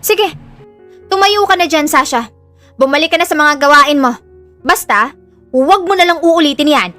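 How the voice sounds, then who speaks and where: Woman acting a reassuring, high-voiced Filipino housewife. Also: lively intonation, moderate pace, bright, forceful tone, dry recording, soft background music. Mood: mildly stern.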